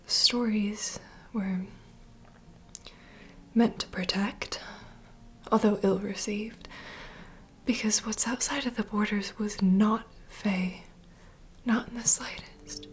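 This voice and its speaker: Female vampire bartender played by a woman, ASMR roleplay. Soft breathy whisper, confiding, unhurried mentor, clear ambient noise behind. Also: mid-range voice, steady tone, natural voice. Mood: hushed storytelling.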